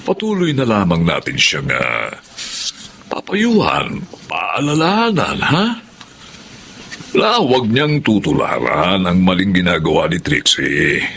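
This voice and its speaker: A Filipino man playing a folksy, reassuring middle-aged father in a radio drama, expressive, with drawn-out interjections. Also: sits low, gravelly, slight background noise, heavily acted. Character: reassuring older father, folksy neighbour and friend.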